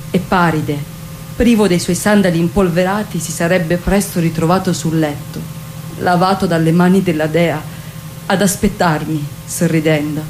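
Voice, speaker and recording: A woman acting an ageless legendary beauty, weary and proud, in a lowish voice. The monologue is theatrical and measured, phrased in deliberate fragments with aloof detachment. Clear background noise sits behind the recording. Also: darkish tone, natural voice. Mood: proud reminiscence. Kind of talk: theatrical dramatic monologue, slow literary recitation.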